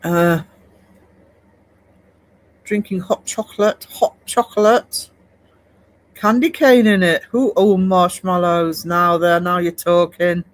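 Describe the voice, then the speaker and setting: Livestream host, man, high-pitched, rambling and chatty with his viewers. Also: steady tone, slow pace, long pauses, no echo, rough recording. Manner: chatty, rambling, many fillers and restarts. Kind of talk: casual chat reading live comments.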